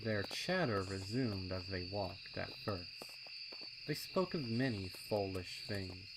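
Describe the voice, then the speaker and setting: A man's voice: sits low, slow, noisy background. A man reading a scripted story as narrator. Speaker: narrator reading fan fiction. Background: crickets, insects, owl sound effects.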